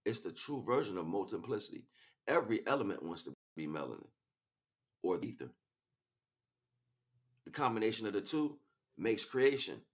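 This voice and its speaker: A man's voice: lowish voice, big pitch swings, moderate pace, pauses often. Man giving an emphatic spiritual lecture. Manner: emphatic, repeats key words, checks in with rhetorical questions. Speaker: spiritual teacher and livestream host.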